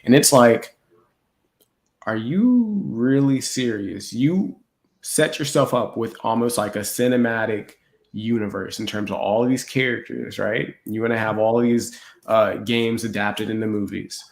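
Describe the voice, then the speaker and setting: Gravelly-voiced man ranting in an echoey room. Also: on the low side, unhurried. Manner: incredulous, rhetorical questions. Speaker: podcast co-host. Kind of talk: casual opinionated film chat.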